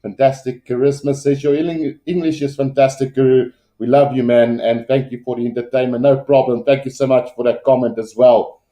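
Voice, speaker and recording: A South African man with an Afrikaans accent hosts a casual sports livestream. His voice is mellow and relaxed, at a middling pitch, with a loose, hesitant, conversational delivery. The room around him sounds noticeably echoey. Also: a little fast, hardly any pauses. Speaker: livestream sports commentator and host. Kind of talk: casual live rugby commentary, chatting with viewers.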